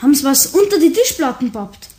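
A woman's voice: high-pitched, expressive, brisk, mellow. A woman playing an eager, stubborn schoolboy with a Bavarian accent in a German children's radio play. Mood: puzzled. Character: cheerful, eager schoolboy Steffi, playful and stubborn.

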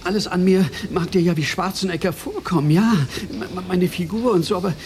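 An actor playing a neurotic, stammering comedian who claims to be trapped in a bodybuilder's body, in a radio play. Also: male voice, high voice, fairly quick, a little mellow. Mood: pleading. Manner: stammering, anxious, pleading. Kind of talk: 1980s German radio play acting. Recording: faint tape hiss.

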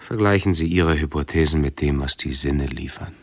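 An actor playing an anxious, pedantic, self-absorbed male hypochondriac in a radio play, with a husky, breathy voice and halting, formal phrasing. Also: rumbling low, no room sound. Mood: pedantic, brooding. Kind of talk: 1970s German radio play acting.

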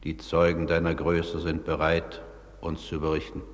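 An actor playing a solemn, stern judge of the underworld court in a German radio play, speaking with ceremonial weight. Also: male voice, a bass voice, monotone, moderate pace. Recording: long reverberant hall.

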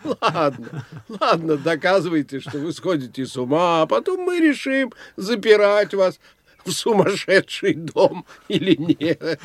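An actor playing a self-assured, eccentric older doctor of the soul in an audio drama, speaking in a pondering, indulgent, lecturing manner. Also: male voice, fairly high pitch, big pitch swings, slow pace, full character voice. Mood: amused, indulgent. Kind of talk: theatrical audio drama dialogue.

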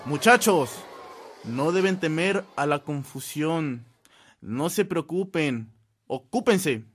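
A kindly schoolmaster in a radio drama. He speaks in a fairly high voice, very slowly and with measured encouragement, like a devout guide to young pupils. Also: animated, breathy edge, full character voice. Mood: gently exhorting. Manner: measured, exhorting.

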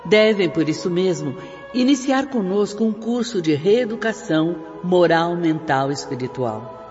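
An earnest spirit sister teaching moral lessons in a radio drama. Her diction is formal and preaching, carried in a long reverb over soft music. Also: on the low side, expressive, a little slow. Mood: grave, admonishing. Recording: dull reverberant recording with music.

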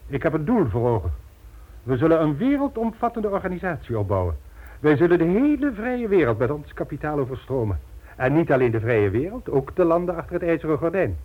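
Megalomaniac villain, theatrical, condescending, swooping intonation, dull old radio drama recording. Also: male voice, fairly high pitch. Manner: grandiose, condescending, theatrical speechifying. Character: megalomaniac criminal mastermind, pompous, smugly polite, condescending gentleman.

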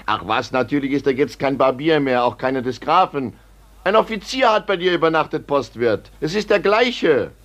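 A man's voice: fairly high pitch, lively intonation, normal speed, a bit bright. An actor in a 1950s German radio play, playing a blunt, troubled coachman in a count's service. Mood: insistent.

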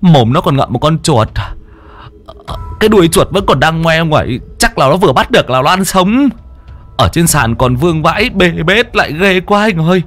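A man's voice: fairly high pitch, lively intonation, moderate pace, a bit bright, faint music behind, voice pushed up. A man voicing a terrified young male henchman who stammers in panic.

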